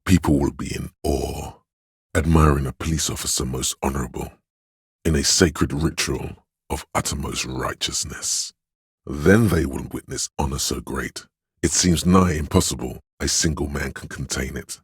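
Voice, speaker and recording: An actor voicing a solemn, grandiose inner voice of authority in a video game, speaking in a pompous, ceremonious and deliberate manner. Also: male voice, a bass voice, slightly rough, no echo, studio quality, full character voice. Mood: solemn praise. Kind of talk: scripted game voice lines, theatrical narration.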